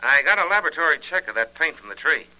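An actor playing an earnest, businesslike FBI agent, plain-spoken and obliging, in a 1940s radio drama. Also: male voice, a little high, lively intonation, fast talker, sharp tone, poor audio. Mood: brisk, obliging.

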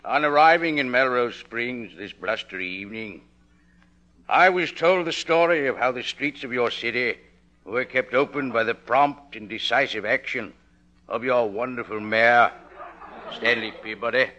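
Very bright male voice, actor playing lieutenant governor, formal speechmaking, dignified, mid pitch, 1950s radio acting. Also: normal speed, highs cut off, close to an everyday voice. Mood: stately. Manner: formal, oratorical.

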